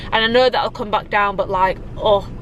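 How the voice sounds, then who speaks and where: A woman's voice: mid-range voice, fairly even intonation, moderate pace, fairly bright tone, slight background noise, low-quality recording. Chatty British woman, blocked nose. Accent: British English. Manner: chatty, rambling, many likes and ums. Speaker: fitness vlogger. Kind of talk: casual vlog chat to camera. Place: parked car, also home.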